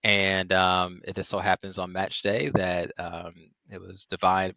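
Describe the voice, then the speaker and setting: A middle-aged man from Chicago, a psychiatrist guest on a podcast, speaking in a measured way with many "um" fillers. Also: sits low, level tone, gravelly, bright, forceful tone, no room sound. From Chicago, Illinois. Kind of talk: podcast interview.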